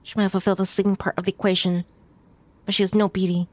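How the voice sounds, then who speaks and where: A woman's voice: medium pitch, level tone, fast talker. A woman streamer reading a visual novel aloud as story narration, now and then stumbling over a word and rereading it. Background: music behind the voice.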